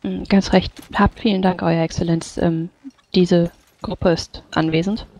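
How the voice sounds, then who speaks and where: A woman's voice: on the low side, level tone, unhurried, darkish tone, no echo, poor audio. A woman playing a courteous female adventurer in a tabletop game, speaking politely and formally.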